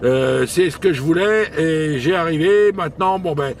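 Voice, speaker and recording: A man with a slightly high voice talks as an amateur radio operator in a casual exchange. He speaks haltingly, often repeating his words. A faint layer of noise sits around the voice. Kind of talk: casual radio contact chat.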